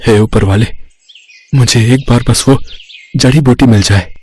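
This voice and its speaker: A man's voice: medium pitch, a little slow, a little mellow, slightly rough. A man voicing a poor, hardworking street vendor, an earnest and plaintive family man in a Hindi moral-story cartoon. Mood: anxious, determined. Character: poor, hardworking pani puri vendor, worried earnest husband and father.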